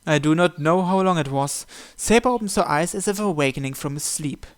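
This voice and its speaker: Higher-voiced man narrating a visual novel. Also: a little fast. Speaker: channel host reading visual novel text aloud. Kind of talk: dramatic first-person scripted narration.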